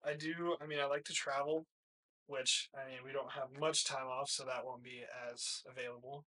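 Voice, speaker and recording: Bright-voiced young man in casual interview. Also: mid-range voice, level tone, normal speed, rough recording. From hill country, United States. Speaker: college baseball player, interview guest. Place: small plain room, indoors, seated.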